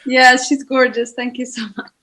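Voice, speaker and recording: A woman's voice: sits high, little pitch movement, moderate pace, pushed and bright. Young woman, reflective. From Portugal. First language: Portuguese. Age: 20s. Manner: reflective, searching for words, light laughter. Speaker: guest, photographer. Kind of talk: conversational podcast interview.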